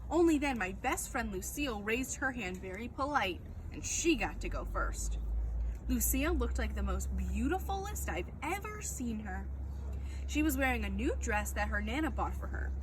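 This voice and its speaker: A woman narrates a children's book with plenty of expression. Her voice is on the high side and very animated in pitch. The recording has clear background noise throughout. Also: normal speed. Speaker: storyteller.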